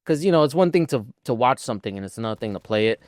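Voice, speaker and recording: A man's voice: mid-range voice, expressive, racing, dry recording, studio quality. A man co-hosting a podcast, giving casual, informal commentary peppered with "like.". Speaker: podcast co-host.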